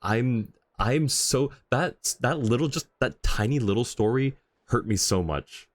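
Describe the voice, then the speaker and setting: A man's voice: medium pitch, animated, a little slow, dry recording. A man doing casual, chatty livestream commentary on a game, reacting and replying to his chat. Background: faint game music.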